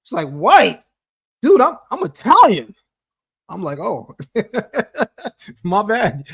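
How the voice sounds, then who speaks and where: A man's voice: high voice, huge pitch swings, slow, creaky, fairly bright tone, breathy edge. A man hosting a discussion, talking emphatically. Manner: emphatic, preacher-like call and response. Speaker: host. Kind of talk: casual discussion with a guest.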